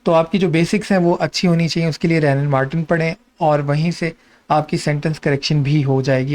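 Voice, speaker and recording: Higher-pitched Pakistani man explaining. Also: darkish tone. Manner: instructive, mixes in many English terms. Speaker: host of an exam and job guidance channel. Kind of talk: informal explainer, walking through on-screen details.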